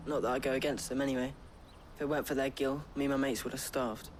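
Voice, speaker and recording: Fast-talking, defensive young street lad played by a man. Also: medium pitch, fairly even intonation, slight background noise, natural voice. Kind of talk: video game voice acting.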